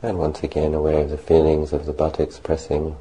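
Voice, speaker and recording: A man leading a guided meditation as a monk, speaking gently and unhurriedly in short phrases with long pauses. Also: very deep voice, monotone, rough recording.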